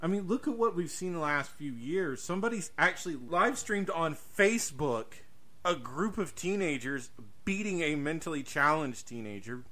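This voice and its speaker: A man talks as a podcast host in a casual conversational way. His voice is fairly high for a man, with a bright, forceful edge, and he repeats phrases for emphasis. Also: expressive, normal speed.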